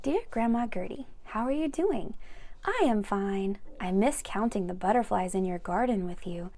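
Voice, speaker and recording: A woman's voice: medium pitch, lively intonation, normal speed, warm tone. A woman reading a children's picture book aloud expressively, with chatty asides to young listeners. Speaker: read-aloud storyteller for children.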